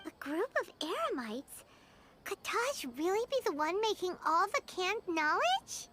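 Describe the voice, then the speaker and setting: A chirpy, high little game companion. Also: big pitch swings, a little slow, background noise, heavily acted. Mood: eager. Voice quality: high, bright and childlike. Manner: eager and blunt. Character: tiny high-voiced companion, chirpy and blunt. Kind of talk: game character dialogue. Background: game music.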